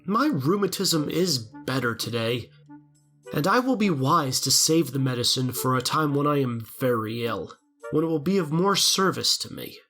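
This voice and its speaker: A woman's voice: a bass voice, animated, normal speed, a bit bright, faint music behind, full character voice. A woman playing a poor old glassblower in an audio drama, formal and earnest with a scheming streak. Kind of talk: theatrical audio drama acting.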